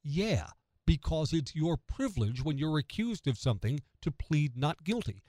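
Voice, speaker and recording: American guy doing talk radio commentary, kinda high voice, punchy, asks stuff then answers it, really dry sound. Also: sing-song, normal speed, mellow, no room sound. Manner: emphatic, rhetorical questions answered by himself. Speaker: radio talk show host. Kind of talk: conversational opinion commentary on news radio.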